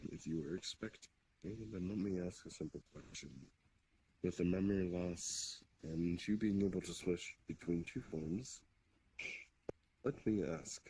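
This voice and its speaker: Over noisy voice-chat audio, a man acts out a stately Demon King with a low voice. He is courteous but commanding, choosing his words with halting formality as in improvised online roleplay. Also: expressive, vocal fry, dark tone, exaggerated voice. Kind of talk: improvised virtual-reality roleplay.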